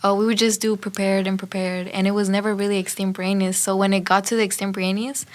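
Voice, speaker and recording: A woman's voice: medium pitch, flat delivery, fast. Teenage girl from Mexico, candid. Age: teens. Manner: candid, reflective. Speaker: student speech competitor. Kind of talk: casual chat.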